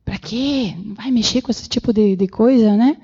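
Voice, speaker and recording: A woman giving an animated public lecture with slides in a council chamber, acting out the voices she quotes. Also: on the high side, moderate pace, slightly rough, lo-fi sound. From Brazil. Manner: animated, acts out quoted voices, many fillers. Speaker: guest lecturer.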